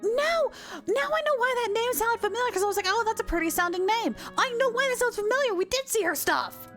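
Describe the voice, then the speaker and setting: A woman reacting casually during a live game playthrough, expressive and exclamatory. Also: extremely high pitch, fairly quick, soft background music. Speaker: game streamer.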